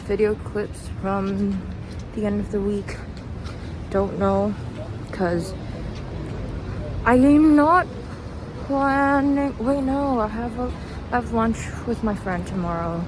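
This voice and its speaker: A young woman chatting casually as a vlogger while out walking, with hesitant, meandering thoughts. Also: a little high. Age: young adult. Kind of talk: casual rambling walking vlog. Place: outdoors, walking. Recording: noisy outdoor street, traffic ambience. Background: street and vehicle noise.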